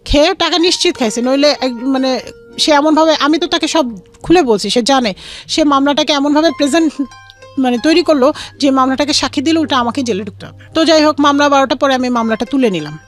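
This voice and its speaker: Fast-talking young Bangladeshi woman. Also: high-pitched, soft background music. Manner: mixes in English words. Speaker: guest telling her life story. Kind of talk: personal storytelling in an interview.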